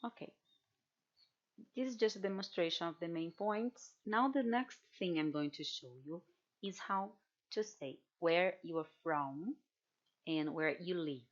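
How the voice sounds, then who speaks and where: A woman's voice: on the low side, unhurried, dull audio. A Brazilian woman with a Brazilian Portuguese accent, teaching Portuguese pronunciation in English and patiently repeating example words. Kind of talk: online language workshop.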